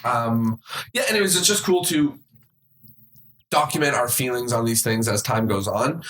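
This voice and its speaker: A man's voice: mid-range voice, moderate pace, a bit bright. A man in his twenties talking as a podcast host, informal, rambling and reflective in casual discussion. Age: 20s. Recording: roomy, echoey sound.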